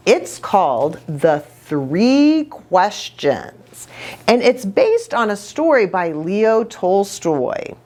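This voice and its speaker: Very animated, slow-paced woman storyteller. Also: mid-range voice. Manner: expressive, chatty asides to children. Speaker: children's ministry storyteller. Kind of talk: read-aloud storytelling for young children.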